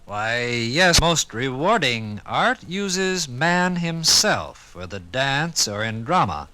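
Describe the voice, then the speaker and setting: A man's voice: mid-range voice, very animated, slow, bright, forceful tone. A man explaining things in an emphatic, professorial way, in scripted 1950s radio dialogue. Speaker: learned narrator answering questions.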